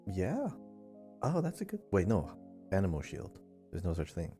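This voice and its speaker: A man's voice: low voice, wildly swooping pitch, normal speed, dark tone, faint music behind. A man co-hosting a gaming podcast, chatting casually. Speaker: podcast co-host.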